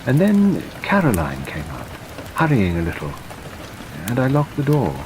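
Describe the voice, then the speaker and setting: Man doing a flustered old gentleman, high-pitched, lively swoops, steady rain behind. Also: normal speed, background noise. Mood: fretful. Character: fussy, gentle older English country gentleman.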